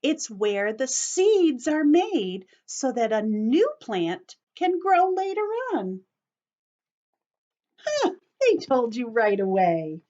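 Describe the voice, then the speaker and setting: A woman teacher reading aloud to young children and explaining as she goes, with expressive, simple phrasing, in a slightly dull recording. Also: fairly high pitch, slow pace.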